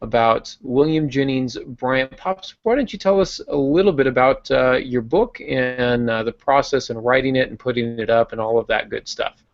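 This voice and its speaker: A man with a gravelly, creaky voice hosts a podcast and runs through the week's announcements in relaxed conversation, often pausing on "uh". The sound is dry and a little dull, like a lo-fi video-call recording. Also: medium pitch, no echo. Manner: conversational, many filler uhs. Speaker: podcast host.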